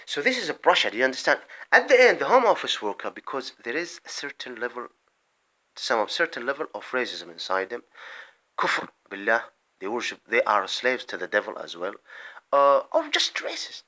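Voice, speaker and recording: A man delivering an impassioned, rambling political monologue, breaking off mid-sentence and swinging between loud outbursts and muttered asides. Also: medium pitch, huge pitch swings, normal speed. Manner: rambling, emphatic, often breaks off and restarts. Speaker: vlogger.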